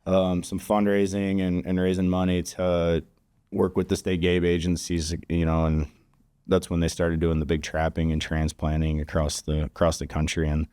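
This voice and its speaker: A man from Kansas talks easily as a podcast guest, circling his points with plenty of ums and you-knows. His voice sits very low and mellow. The sound is dry and close, with little room around it. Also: monotone, normal speed, clear, resonant tone, dry recording. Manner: easygoing, explains with many fillers. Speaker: guest, conservation group regional director. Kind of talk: casual podcast chat. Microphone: studio podcast mic.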